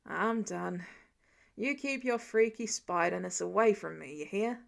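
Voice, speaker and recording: A woman's voice: medium pitch, unhurried, vocal fry, pitched below the performer's own voice. A woman voicing a defeated, grumbling grown man in a story reading, sounding resigned. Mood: grumpy, resigned.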